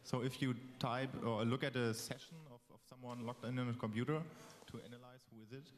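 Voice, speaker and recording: A man with a medium-pitched voice asks a question from the audience. The sound carries long reverb from a big conference hall. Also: fairly even intonation, a little fast.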